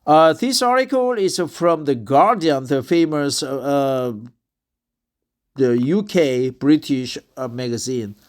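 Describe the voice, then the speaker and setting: A man in his fifties from South Korea, speaking English with a Korean accent as a second language, a little drunk, talking in a halting way with many fillers and restarts. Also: medium pitch. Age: 50s. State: tipsy. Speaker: office worker practicing English. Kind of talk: casual monologue, reading aloud.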